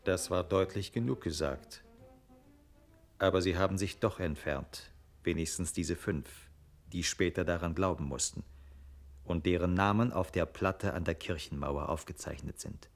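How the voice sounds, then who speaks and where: A male narrator with a very deep voice tells the story in a radio play. He is reflective and conversational, in the manner of a scripted storyteller. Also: measured pace, faint music behind.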